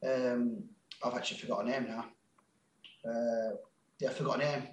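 A man's voice: medium pitch, level tone, moderate pace, roomy sound, slight background noise. A man with a Sheffield accent, a guest on a podcast, chatting casually and self-deprecatingly, with lots of laughter and restarts. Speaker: guest, music label founder.

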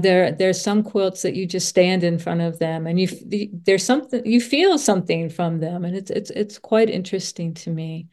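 A woman's voice: fairly low pitch, dark tone. A woman hosting a casual podcast interview, effusive and rambling, rephrasing her thoughts as she goes.

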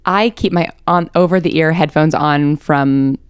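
A woman's voice: low voice, a little slow, clear, resonant tone, no room sound, studio quality. A woman talking casually as an interview guest, thoughtfully feeling her way through phrases. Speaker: interview guest, podcast writer. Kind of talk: casual conversation.

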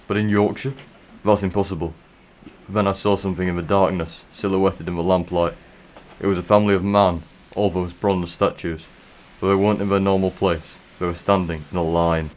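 A man presenting a community radio show and reading a spooky story in a calm, measured way. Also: sits low.

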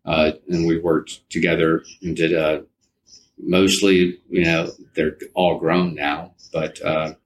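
A man in his fifties answering interview questions conversationally, haltingly, often repeating words and restarting his sentences. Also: low voice, level tone, slight background noise, poor audio. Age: 50s.

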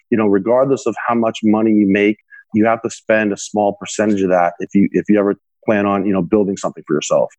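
A man's voice: on the low side, darkish tone, no room sound, poor audio. A young man, a guest on an interview podcast, earnestly giving money advice and filling his speech with "you know". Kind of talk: conversational interview answers.

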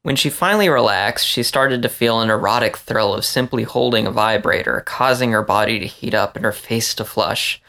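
A man with a creaky voice reading a story aloud as scripted narration. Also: on the low side, moderate pace, few pauses, sharp tone. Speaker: story reader.

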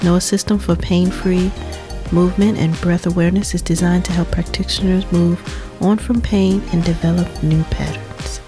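Woman podcast host, upbeat and welcoming, fairly low voice, warm tone. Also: steady tone, moderate pace, soft background music. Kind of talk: podcast intro and interview.

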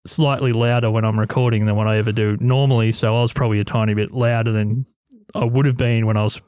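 A man's voice: lowish voice, fairly even intonation, quick pace, a little mellow, clean tone, no room sound. A young Australian man hosting a podcast interview, friendly and a bit rambling, speaking in a radio studio. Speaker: podcast host. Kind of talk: interview chat. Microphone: studio mic.

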